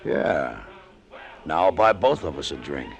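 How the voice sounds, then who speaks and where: A man's voice: a bass voice, sing-song, a little slow, gravelly, dull audio, exaggerated voice. An American man playing a hard, hot-tempered, cynical cargo pilot in old-time radio drama, with a tough, clipped delivery. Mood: cool, mercenary. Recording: vintage radio drama with loud music.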